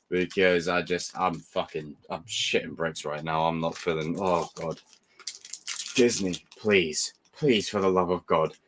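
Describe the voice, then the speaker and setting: A man hosting a live watch party with a croaky morning voice, talking nervously, swearing and pleading. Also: on the low side, a bit bright. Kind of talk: live reaction commentary.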